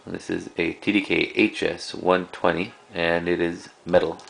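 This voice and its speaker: Casting: man, very low creaky voice, casual collector showing items, halting and unscripted, slight hiss of background noise. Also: flat delivery, rough recording. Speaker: cassette collector presenting a collection. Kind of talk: casual unscripted show-and-tell.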